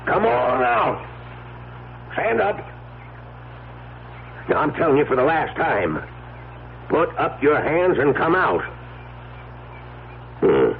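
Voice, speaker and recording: An actor playing a gruff, suspicious rural lawman in a radio drama, with folksy, laconic talk. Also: fairly high pitch, big pitch swings, slow, pauses often, full character voice. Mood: stern. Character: gruff rural lawman, suspicious, stubborn, slow-reasoning man. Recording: tinny band-limited radio, faint hiss.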